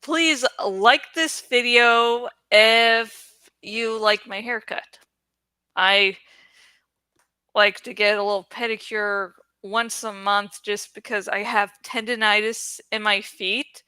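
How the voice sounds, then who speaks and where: Bright, clear-voiced woman chatting to viewers. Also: mid-range voice, steady tone, takes it slowly, dry recording. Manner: rambling, playful, self-deprecating, giggly. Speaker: YouTuber who reads books aloud. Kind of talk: casual, chatty vlog talk to viewers.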